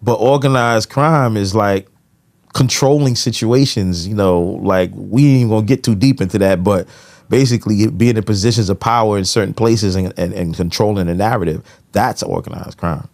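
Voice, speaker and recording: A man's voice: on the low side, normal speed, gravelly, darkish tone. An American man in casual podcast conversation, giving his opinions with frequent conversational tag phrases. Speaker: podcast co-host.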